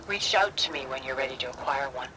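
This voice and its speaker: Casting: a guarded, cool-headed female ally in a video game, dryly measured, noisy ambience behind. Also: a bass voice, animated.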